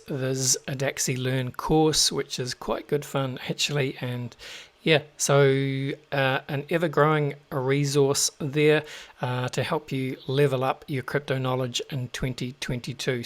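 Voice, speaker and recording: A man with a New Zealand accent hosting a show, talking casually to listeners with lots of fillers and restarts. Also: medium pitch. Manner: chatty, many fillers and restarts. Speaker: host.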